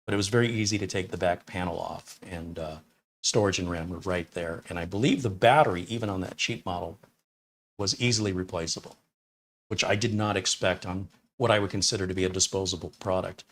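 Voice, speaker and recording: Low-voiced, chatty older tech reviewer man. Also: steady tone, fairly quick, fairly bright tone, slight background noise. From Detroit, USA. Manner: rambling, talkative, blunt opinions. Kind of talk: casual live-stream chat answering viewer questions. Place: small home studio room.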